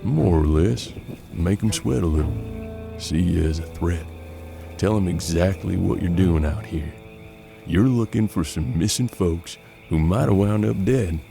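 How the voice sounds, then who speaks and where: A man's voice: low-pitched, unhurried, vocal fry, dark tone, faint music behind. An American man playing a seasoned, unflappable bounty hunter in a western audio drama, with a folksy, laconic drawl. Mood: calm, calculating. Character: seasoned, unflappable Old West bounty hunter mentoring his apprentice.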